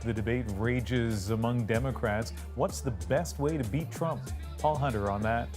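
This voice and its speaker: Brisk male news anchor over music. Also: mid-range voice.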